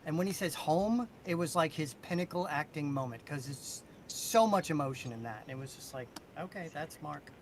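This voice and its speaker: Guy with a slightly high voice, enthusiastic fan chat on a podcast, emphatic, low rumble behind. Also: lively intonation, normal speed, hardly any pauses.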